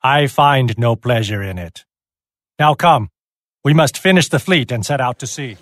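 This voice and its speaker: An actor playing an earnest, honorable Chinese fleet captain with a measured, formal delivery in a game cutscene. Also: male voice, medium pitch, animated, a bit bright, no room sound, studio quality. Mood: grave, restrained. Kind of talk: dramatic game cutscene acting. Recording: game audio with music behind.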